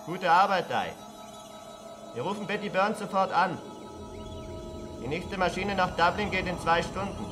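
An actor playing a brisk, businesslike male colleague giving clipped orders in a science fiction radio play. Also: high voice. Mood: brisk, commanding. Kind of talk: 1970s German radio drama acting. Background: electronic music.

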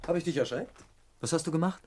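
A man's voice: a little high, fast, a little mellow, a little hiss or hum, natural voice. An actor playing an honest, stubborn working man in an old German radio crime play, curt and sceptical. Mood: suspicious. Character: honest, poor, wary working man, jealous husband. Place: radio drama studio.

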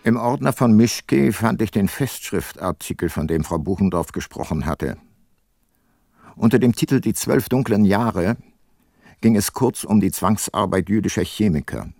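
An actor playing an ageing, remorseful detective and former prosecutor in a radio drama, narrating and talking in a measured, reflective way. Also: male voice, fairly low pitch, expressive, gravelly, darkish tone, no room sound, clean studio sound. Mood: reflective, sober. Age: older. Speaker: narrator and lead character.

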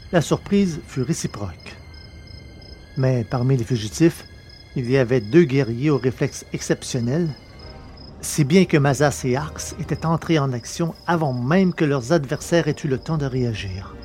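Male narrator for an amateur sci-fi audio drama, mid pitch, even and measured. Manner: even, measured storytelling delivery.

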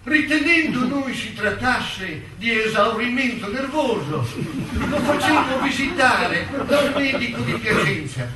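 An actor voicing a strict, indignant bourgeois parent in a satirical radio drama. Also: male voice, very high-pitched, sing-song, unhurried, noisy background, pitched above the performer's own voice, heavily acted. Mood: prim. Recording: echoey, distant stage recording.

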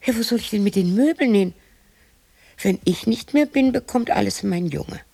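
A woman's voice: mid-range voice, expressive, moderate pace, vocal fry, dark tone. An actress playing a lonely, cantankerous widow in her sixties in a radio drama monologue, muttering to herself and snapping. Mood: indignant. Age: 60s. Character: lonely, cantankerous sixty-year-old German widow talking to herself.

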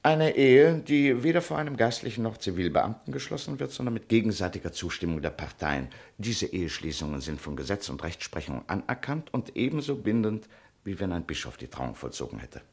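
A slick radio-drama lawyer with a lowish, husky voice. He talks formally and deliberately, insinuating as he presses his claim. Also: sing-song, darkish tone, heavily acted. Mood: discreetly insinuating. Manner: smooth-talking, formal, deliberate. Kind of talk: German radio play acting.

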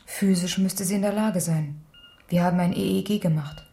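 An actress playing a sober, clinical hospital doctor in a radio drama, speaking in a quiet, factual way. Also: female voice, lowish voice, fairly even intonation, normal speed, creaky. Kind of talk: 1980s German radio drama acting.

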